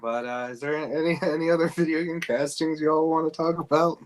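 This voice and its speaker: A man's voice: a little high, steady tone, fairly quick. A man co-hosting a podcast, chatting casually and jokingly in slangy speech. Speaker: podcast co-host.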